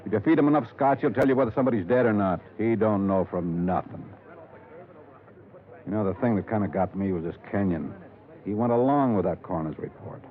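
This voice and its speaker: A tinny old 1950s radio drama recording carries a low-pitched American man acting as a small-town police chief. He is weary and gruff, with a folksy, terse way of talking and a dry, sardonic authority. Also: lively intonation, a little fast, natural voice. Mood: wry, grim.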